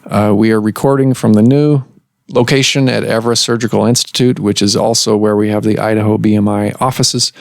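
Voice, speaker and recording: Guy doctor hosting a podcast, lowish voice, dry close sound, explains slow and steady. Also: vocal fry, dry recording. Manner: measured, explanatory, with fillers. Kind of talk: conversational medical podcast. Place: clinic office.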